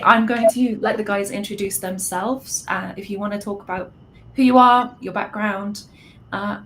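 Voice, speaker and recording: Woman hosting a live stream panel. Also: medium pitch. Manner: friendly, self-deprecating. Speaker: host, product manager. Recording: faint background noise.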